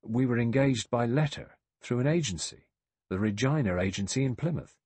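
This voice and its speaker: A flustered storybook butler, performed by a man, pleads his case with servile earnestness. The husky voice swings widely in pitch and sounds defensive. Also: lively intonation, normal speed, a little mellow, dry recording. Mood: earnest, deferential. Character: flustered, earnest, deferential male butler protesting innocence. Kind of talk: audiobook character voice.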